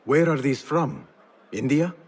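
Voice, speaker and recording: An actor playing a guarded, earnest young former street thief turned assassin in a video game, speaking in a measured, serious way. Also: male voice, medium pitch, lively intonation, breathy edge. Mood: friendly, wry. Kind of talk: video game character acting.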